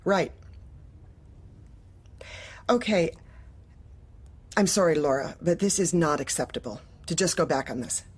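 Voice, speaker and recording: Manipulative female boss: low-voiced, officious, falsely polite, dark-comedy audio drama. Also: lively intonation, unhurried, long pauses, slightly rough, full character voice. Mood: coolly threatening. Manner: clipped, officious, controlled.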